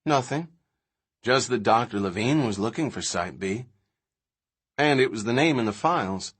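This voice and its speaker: A man's voice: mid-range voice, lively intonation, normal speed, fairly bright tone, slightly rough. A man voicing an earnest, matter-of-fact young boy explaining things in an audiobook.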